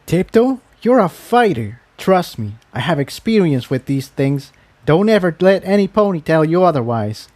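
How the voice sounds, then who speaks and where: A man's voice: fairly high pitch, sing-song, moderate pace, a little mellow, voice pushed up. A man voicing a confident female unicorn pony, direct and assured, in a storybook reading. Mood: encouraging.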